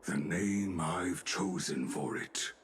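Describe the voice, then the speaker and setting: A man voicing a huge, solemn smith in a fantasy game, with deep gravelly speech in terse, inverted fragments. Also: slow, slight background noise. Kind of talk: dramatic video game dialogue. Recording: music behind the voice.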